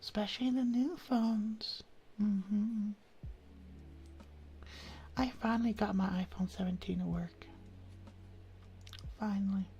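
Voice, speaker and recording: A woman hosting an ASMR live stream, chatting casually and affectionately to viewers in a soft, breathy voice that often drops into a whisper. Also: mid-range voice, steady tone, slow pace, long pauses, highs cut off. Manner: affectionate, intimate, uses pet names.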